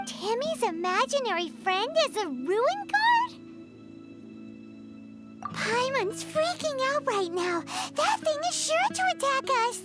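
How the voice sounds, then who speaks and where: A woman voicing a tiny, childlike floating companion in a video game, excitable, whiny and curious. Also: very high voice, slow, heavily acted. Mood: flustered. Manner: speaks of self in third person, exclaiming. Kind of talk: video game character acting. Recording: music playing behind.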